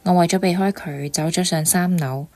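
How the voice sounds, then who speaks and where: An actress playing a sincere, emotionally wounded young lecturer in a Cantonese radio drama, with a Hong Kong accent. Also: female voice, lowish voice, steady tone, normal speed, a little mellow, no echo, faint music behind, lightly acted. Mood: sorrowful confession.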